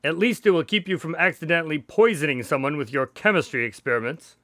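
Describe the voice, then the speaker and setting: A man's voice: fairly high pitch, quick pace, fairly bright tone, dry recording, studio quality, lightly acted. An actor playing a polite, wry, long-suffering doctor who tells his story in a radio drama, formal and good-natured. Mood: eager, persuading.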